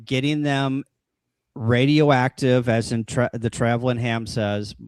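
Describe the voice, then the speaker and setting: A man's voice: mid-range voice, flat delivery, slow, clean tone, no echo. A man in a casual panel chat, talking bluntly and with strong opinions as a guest explainer. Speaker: guest explaining amateur radio contests.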